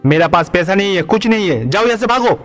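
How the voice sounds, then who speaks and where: An actor playing a bad-tempered village bully, rude, dismissive and threatening, in a cartoon story. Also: male voice, high voice, expressive, fast talker, fairly bright tone. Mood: irritated. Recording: long reverb, music behind.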